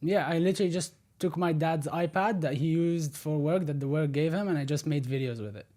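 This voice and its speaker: A confident young man in his twenties talks as a podcast guest, direct and methodical. His voice sits a bit high for a man. He grew up between the Netherlands and Egypt and lays out his points plainly. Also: a little fast, warm tone. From born in the Netherlands, raised in Egypt. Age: 20s. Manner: confident, direct, explains step by step. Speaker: podcast guest, personal branding strategist. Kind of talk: casual interview conversation.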